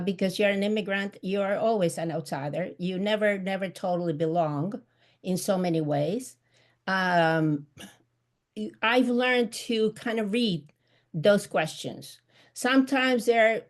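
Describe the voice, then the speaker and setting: A middle-aged woman with an Argentine Spanish accent who talks at an unhurried, deliberate pace. Her pitch sits in an ordinary middle range. She answers interview questions earnestly, stopping often to search for the right word. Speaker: author guest. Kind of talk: live video chat interview.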